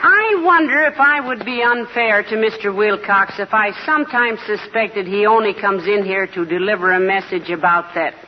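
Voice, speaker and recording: An actress playing a sensible, affectionate wife with a dry wit in a 1940s radio comedy. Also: female voice, mid-range voice, normal speed, few pauses, lightly acted. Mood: wry. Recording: muffled, very noisy 1940s radio recording.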